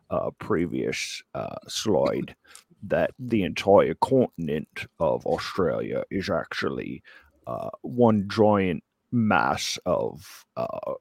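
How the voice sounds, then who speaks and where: A man giving a comic mock lecture in a put-on Australian accent, hesitant and deadpan. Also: low voice, takes it slowly, gravelly, no echo, studio quality. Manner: putting on a joke Australian accent. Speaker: comic presenter giving a slideshow talk. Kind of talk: mock lecture, improvised comedy presentation.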